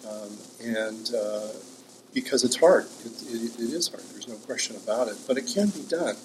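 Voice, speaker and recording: A man's voice: medium pitch, normal speed, a little mellow, slight background noise, rough recording. A man hosting a casual interview, enthusiastic and full of follow-up questions. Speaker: interviewer, host.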